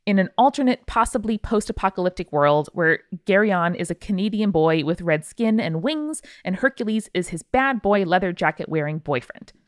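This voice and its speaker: A woman's voice: medium pitch, expressive, normal speed, hardly any pauses, no room sound, studio quality. A woman hosting a podcast, reading out listener mail in a casual, irreverent way with wry asides. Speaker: podcast host.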